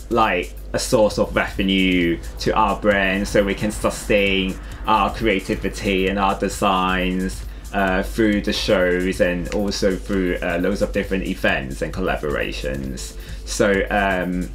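A man's voice: sits low, fairly bright tone. A young man from Hong Kong with a Hong Kong accent, chatting casually as a designer being interviewed in a small studio. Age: young adult. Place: small studio room with clothing rails, indoors.

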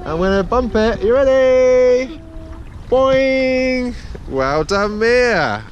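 Gentle father coaching kids on a dinghy. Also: very high voice, animated, takes it slowly, dull audio. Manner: gentle, encouraging, lots of praise. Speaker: father teaching his children to sail. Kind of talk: patient coaching and casual chat. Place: small sailing dinghy on a lake, outdoors. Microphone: boat-mounted action camera. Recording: outdoor wind and water noise.

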